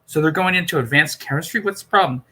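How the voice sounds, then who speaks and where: Guy reading as worried girl sidekick in a sci-fi book, pressing questions, raised sharp voice. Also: on the high side, fast, pitched above the performer's own voice. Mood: questioning.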